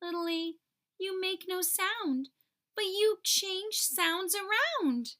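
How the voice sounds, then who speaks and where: A woman voicing small, excitable, anxious young alphabet letters from a children's storybook, exclaiming in a childlike way. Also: extremely high pitch, unhurried, pitched above the performer's own voice, heavily acted. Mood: excited, amazed.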